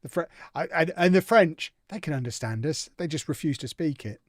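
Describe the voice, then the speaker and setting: A man's voice: a little high, animated, brisk, no echo, studio quality. A man streaming a football management game, chatting casually and reacting to viewer comments with amused indignation. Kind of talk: casual live-stream chat while playing.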